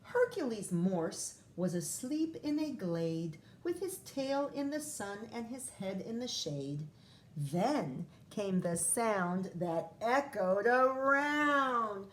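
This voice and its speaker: Unhurried woman teacher reading to young children. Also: mid-range voice, slight background noise. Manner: expressive, drawn-out reading with chatty asides to children. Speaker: first-grade teacher. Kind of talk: read-aloud picture book storytelling for young children.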